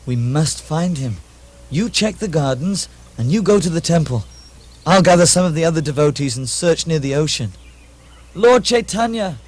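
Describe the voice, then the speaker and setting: A man's voice: a little high, expressive, moderate pace. A man playing an earnest, worried devotee leading a search in a puppet show, urgently calling out.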